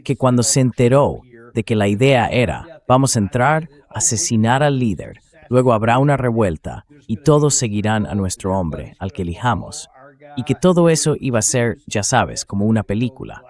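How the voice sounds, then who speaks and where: A man's voice: mid-range voice, very animated, normal speed, darkish tone. A man's synthetic dubbing voice delivering spoken political commentary as the host of a show.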